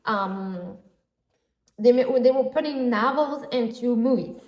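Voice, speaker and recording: A woman's voice: on the high side, takes it slowly, dull audio. A woman hosting her own podcast, talking casually and gushing with lots of fillers. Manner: chatty and gushing, with frequent fillers and laughs. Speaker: podcast host. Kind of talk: casual solo podcast chat. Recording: roomy echo.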